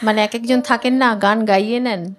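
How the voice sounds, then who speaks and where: A woman, a guest singer chatting casually in a studio in halting, trailing phrases. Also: on the high side, steady tone. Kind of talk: casual chat. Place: music studio.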